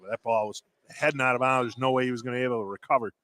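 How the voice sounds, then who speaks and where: A man's voice: medium pitch, steady tone, rapid-fire, a bit bright, dry recording. A man working as a color commentator on a live basketball broadcast, talking conversationally about the play.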